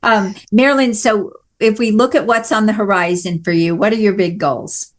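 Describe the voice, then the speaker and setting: A woman's voice: medium pitch, steady tone, normal speed. A woman from Missouri with a light Missouri accent, hosting an interview podcast in an enthusiastic, chatty way with many affirmations. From Missouri, USA. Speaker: podcast host. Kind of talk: conversational interview.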